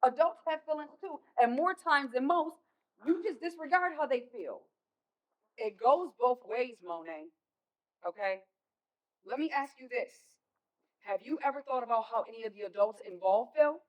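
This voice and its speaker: A woman's voice: sits high, expressive, normal speed, low-quality recording. Stern American mother, a woman acting. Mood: scolding, exasperated. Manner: scolding, emphatic. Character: stern, exasperated mother of teenagers. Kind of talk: stage play acting.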